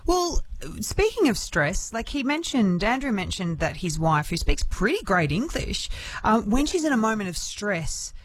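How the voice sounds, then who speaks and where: A woman's voice: medium pitch, breathy edge, no echo. A woman hosting a talkback radio show, asking chatty, playful questions. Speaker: radio host.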